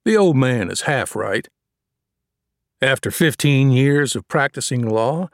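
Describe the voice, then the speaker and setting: A man's voice: mid-range voice, a little mellow, no echo, clean studio sound. A man reading a first-person novel aloud as scripted audiobook narration.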